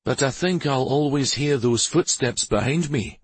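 Man playing an earnest, brooding young warrior, slightly husky. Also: medium pitch, expressive, a little slow, dry recording, voice pushed up. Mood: haunted. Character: brooding young male war master, weary and dutiful.

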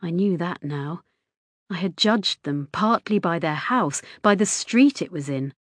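A woman reading a first-person story as an audiobook narrator, in a measured, inward storytelling manner. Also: on the low side, expressive, dry recording.

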